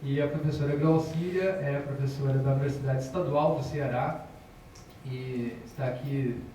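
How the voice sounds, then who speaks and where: A man's voice: medium pitch, steady tone, normal speed, warm tone. A man moderating an academic panel, introducing the speakers with hesitant fillers and self-corrections. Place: university auditorium. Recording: echoey lecture hall from far off, noisy.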